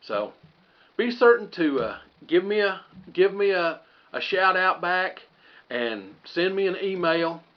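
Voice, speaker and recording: Folksy man, higher-pitched voice, casual vlogging. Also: very animated, takes it slowly, lo-fi sound. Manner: folksy, conversational, thinking aloud. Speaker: host of a homestead channel. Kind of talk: casual rambling vlog talk to viewers.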